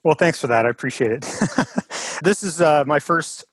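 A man's voice: a little high, expressive, a little slow, slightly rough, no room sound. A man hosting a podcast in a casual, conversational style. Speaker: podcast host.

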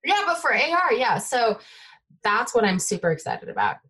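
A young adult woman from the Bay Area, whose first language is Taiwanese, chatting excitedly as a guest developer in an interview. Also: on the high side, moderate pace, breathy edge. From United States (Bay Area). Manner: excitable, filler-heavy, trailing off. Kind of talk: casual tech interview chat.

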